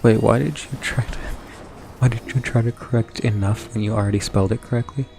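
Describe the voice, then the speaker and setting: A young man streaming a video game, talking to his chat in a soft whisper with mouth noises, his throat sore from whispering. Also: lowish voice, steady tone, brisk, vocal fry, a little hiss or hum. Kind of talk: casual gaming commentary, trying ASMR-style whispering.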